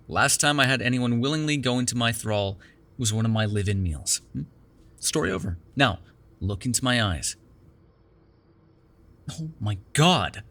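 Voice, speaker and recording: A man's voice: mid-range voice, sharp tone, breathy edge, full character voice. A man playing a sardonic, prickly but secretly caring vampire in an ASMR roleplay, with a teasing manner. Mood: wry, reluctant, then exasperated, defensive. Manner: teasing, sarcastic, breaking into exasperation and soothing murmurs.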